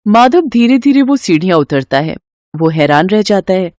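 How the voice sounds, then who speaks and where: A woman narrates a scripted bedtime moral tale. Her medium-pitched voice moves expressively up and down, and the recording is dry and close. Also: sing-song, moderate pace, a little mellow, dry recording. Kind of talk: scripted children's story narration.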